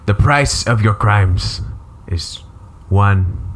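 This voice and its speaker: A man voicing a solemn middle-aged uncle who demands goats as penance, in a deliberately bad fake Pakistani accent and slow, formal pronouncements. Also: lowish voice. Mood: grave.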